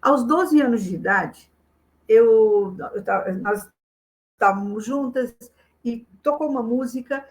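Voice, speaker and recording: A middle-aged woman with a fairly high voice, teaching a memory lesson. She explains step by step and speaks straight to the listener, often repeating her main point. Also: slow, low-quality recording. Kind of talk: spoken lesson to camera.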